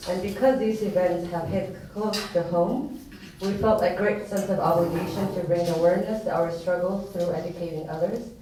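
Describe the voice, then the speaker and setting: A woman's voice: fairly low pitch, mellow. A woman from Sudan moderating an event, giving a prepared welcome speech to an audience. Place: event hall at an academy. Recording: echoey room, distant mic, faint noise.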